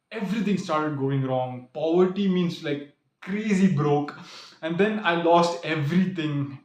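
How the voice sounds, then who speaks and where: An earnest young man talks straight to the camera in a loose, rambling way, stacking "like" and "literally" as he goes. His voice sits a bit high for a man. The sound comes from a small room with a noticeable echo. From grew up in the UAE. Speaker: vlogger. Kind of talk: casual vlog monologue. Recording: echoey room.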